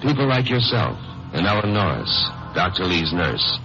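Casting: dry, hard-boiled radio detective, lowish voice with lively swings, wisecracking old-time crime show delivery. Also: male voice, moderate pace, vocal fry, full character voice. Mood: wry narration. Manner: tongue-in-cheek, dry. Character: wry, wisecracking hard-boiled male lawyer-sleuth. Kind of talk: 1950s radio crime drama narration. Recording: muffled old radio broadcast, music behind.

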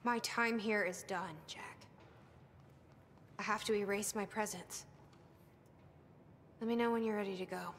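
A woman's voice: mid-range voice, steady tone, unhurried, pauses often, fairly bright tone, big-room sound. Casting: woman in her thirties, game resistance fighter, grimly resigned, restrained delivery, faint background noise. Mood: weary, guarded. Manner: grave, restrained, weighted delivery. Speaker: video game character actor. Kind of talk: cinematic video game dramatic acting.

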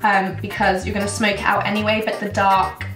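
A young woman in her twenties, losing her voice and croaky, chatting casually and self-mockingly through a makeup tutorial. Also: medium pitch, level tone, brisk, roomy sound, recorded from a distance, over music, rough recording. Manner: chatty, self-mocking, rambling with many ums. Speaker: beauty vlogger. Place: indoors, plain grey backdrop.

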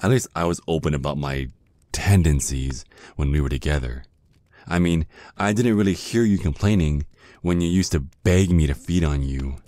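ASMR roleplay casting: jealous male vampire ex, acted by a man, extremely low dark voice, slow seductive taunts. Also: no room sound. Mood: mocking, seductive. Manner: slow, teasing, drawn-out menacing murmur.

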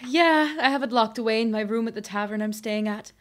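A woman playing a gentle, principled elven market trader in an audio drama, with an earnest, hesitant manner. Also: on the high side, a little fast, close to an everyday voice. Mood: polite, unsure.

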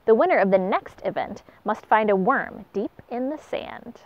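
A woman voicing a wise old pelican who calmly judges a contest, speaking in a measured, announcing way. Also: on the high side. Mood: judging. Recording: dull lo-fi recording.

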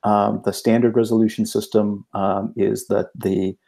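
A man on a video call explaining things in a measured, methodical way as an interview guest. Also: fairly low pitch, level tone, gravelly, dark tone. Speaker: game designer, podcast guest.

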